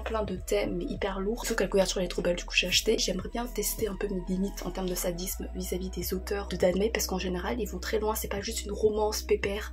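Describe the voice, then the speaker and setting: Young woman, gushing book vlogger, music behind. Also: mid-range voice. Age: young adult. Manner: informal, gushing, rambling asides. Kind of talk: casual chatty reading-list vlog.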